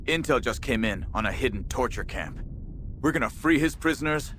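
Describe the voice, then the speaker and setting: An actor playing a gruff, wry soldier who leads a squad in a video game cutscene, speaking tersely and with command. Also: male voice, medium pitch, lively intonation, a little fast, a little hiss or hum, natural voice. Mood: commanding, resolute. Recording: loud music behind.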